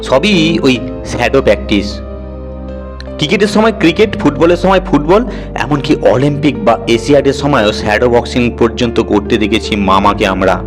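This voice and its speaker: A man narrating an audio story in a conversational, expressive, dramatised storytelling manner. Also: mid-range voice, moderate pace, music in the background.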